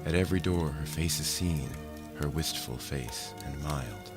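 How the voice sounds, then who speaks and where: A man reciting a narrative poem in storybook style, with a measured verse delivery. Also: very deep voice. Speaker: poem reader. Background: music.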